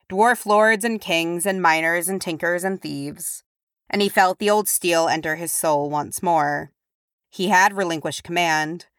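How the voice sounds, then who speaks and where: A woman's voice: lowish voice, fairly bright tone, clear, resonant tone, no echo, clean studio sound. A woman reading a scripted story aloud as an audiobook narrator. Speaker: audiobook reader.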